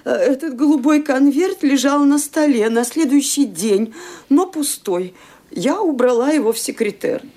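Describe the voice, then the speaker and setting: An actress playing an earnest, deferential housemaid in a radio play, speaking carefully and respectfully. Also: female voice, high voice, lively intonation, moderate pace, dark tone.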